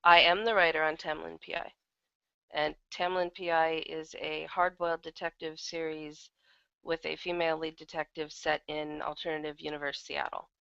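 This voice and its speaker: Woman guest chatting in an interview, kinda low n croaky, dull lo-fi audio. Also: little pitch movement, normal speed, pushed and bright, no echo. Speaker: interview guest, audio drama writer and producer. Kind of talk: casual interview chat.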